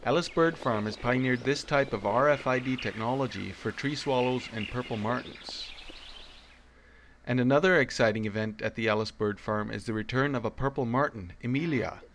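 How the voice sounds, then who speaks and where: A man narrating a community news report in a steady announcing manner. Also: medium pitch, moderate pace, a little hiss or hum.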